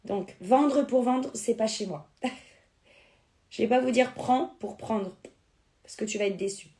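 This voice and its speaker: High-voiced chatty woman selling makeup. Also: slow pace, warm tone. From born in France, Spanish family. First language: Spanish. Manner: chatty, answers viewers' comments. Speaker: beauty product seller on a live stream. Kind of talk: casual live-stream sales chat.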